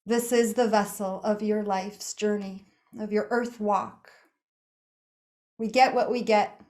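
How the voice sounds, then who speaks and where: A woman with a medium-pitched voice guides a relaxation practice. She speaks slowly and soothingly, setting down short phrases one at a time. Pauses sit between them, giving the narration an unhurried feel. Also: steady tone, darkish tone. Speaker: yoga nidra meditation guide.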